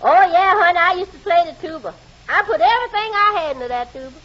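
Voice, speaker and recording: Sassy folksy maid, super high voice, old radio comedy, dull sound. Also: female voice, expressive, quick pace. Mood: cheerful. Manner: folksy, familiar. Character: sassy, good-natured, very high-voiced housemaid. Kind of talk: 1940s radio comedy acting.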